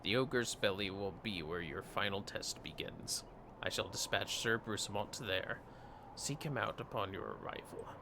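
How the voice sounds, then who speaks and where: A man voicing a solemn retired knight mentor from a fantasy game, reading in a formal, archaic, measured way. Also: on the low side, lively intonation, creaky, noisy background. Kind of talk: reading game dialogue aloud in character. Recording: game music behind the voice.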